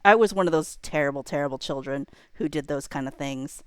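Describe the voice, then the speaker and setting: A woman's voice: low-pitched, fairly quick, clear, resonant tone, dry recording. A woman, perhaps in her thirties, chatting casually on a livestream in a rambling, self-deprecating way with informal slang.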